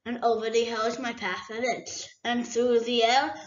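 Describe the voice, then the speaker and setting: A woman voicing a small, clever male hobbit who speaks quickly and politely in riddles. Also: a little high, echoey room, lo-fi sound, natural voice. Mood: polite, riddling. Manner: quick, flattering, riddling speech.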